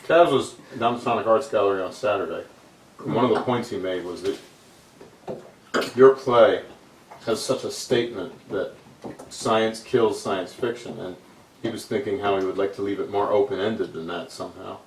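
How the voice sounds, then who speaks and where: A man's voice: fairly low pitch, normal speed. A man making his points in an informal group discussion. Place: indoor room, recorded from a distance. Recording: echoey room, distant mic, faint noise.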